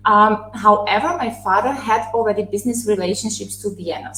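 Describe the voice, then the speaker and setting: A woman's voice: mid-range voice, fairly bright tone, roomy sound, a little hiss or hum, low-quality recording. A woman in her thirties, born in Serbia and raised in Austria, telling her life story as a guest on a video call, with many fillers and restarts. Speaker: interview guest. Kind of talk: casual conversational storytelling.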